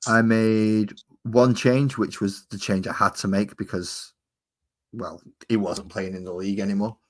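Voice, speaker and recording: Man, low voice, rambling, jokey livestream host. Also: fairly even intonation, a little slow. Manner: jokey, rambling, chats with viewers. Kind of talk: casual chat while painting.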